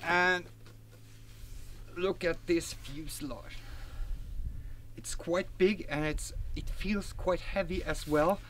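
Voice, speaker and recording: A man's voice: high-pitched, fairly even intonation, takes it slowly, pauses often, a bit bright, a little hiss or hum. A man in his forties, a native Norwegian speaker, chatting casually outdoors in a garden as he unboxes and reviews a model plane, with plenty of fillers and self-corrections. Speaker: RC hobby reviewer.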